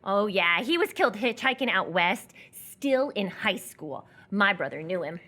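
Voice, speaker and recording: A laconic local teen, played by a woman in a radio play, passing on grim gossip. The pitch is middling but swings around in a lively way. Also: a little slow, heavily acted. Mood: matter-of-fact, grim. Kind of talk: live radio theatre acting.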